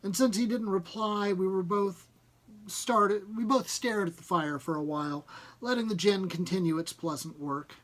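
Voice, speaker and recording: A man's voice: sits high, moderate pace, a bit bright, rough recording. A man narrating a first-person short story, reading it aloud. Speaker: story reader.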